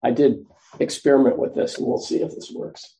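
A soft-spoken man teaching meditation, giving gentle, unhurried instructions with frequent pauses. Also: medium pitch, flat delivery.

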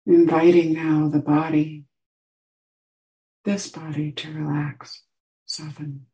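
Slow, mellow woman guiding meditation. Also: low-pitched, little pitch movement, low-quality recording. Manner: soft, unhurried instruction with long pauses. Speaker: meditation teacher. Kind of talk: guided meditation.

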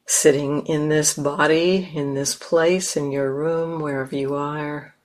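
A woman teacher leading a guided meditation in a gentle, unhurried manner, leaving long pauses between phrases. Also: sits low, steady tone.

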